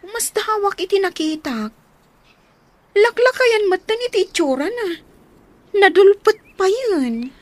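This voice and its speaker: An actress playing a friendly young graduate who teaches, in an Ilocano radio drama. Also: female voice, very high-pitched, lively intonation, measured pace, pauses often, no echo. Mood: concerned. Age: young adult.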